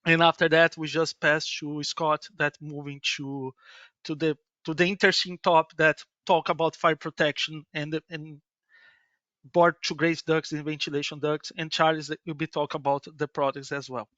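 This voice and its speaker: A middle-aged Brazilian man presents a webinar in halting, accented English, restarting phrases and tagging "yes". His voice sits high and sounds bright and clean. The audio is dry and a little muffled. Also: level tone, no echo. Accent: Brazilian Portuguese. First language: Portuguese. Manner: halting non-native English with many restarts and "yes" tags. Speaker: business development manager presenting a webinar.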